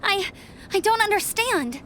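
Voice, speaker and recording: A woman's voice: very high voice, lively intonation, unhurried, fairly bright tone, a little hiss or hum, exaggerated voice. An actress playing an earnest, inquisitive young woman adventurer in an anime video game. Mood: confused, worried.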